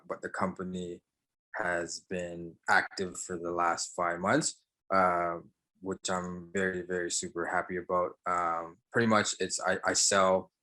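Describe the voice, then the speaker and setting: A young man with a very low voice and a bright, forceful edge to it. He answers interview questions casually, pausing often with fillers and restarting his sentences. He grew up after leaving Sri Lanka as a child. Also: rough recording. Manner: halting, many fillers and restarts. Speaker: guest, barber and entrepreneur.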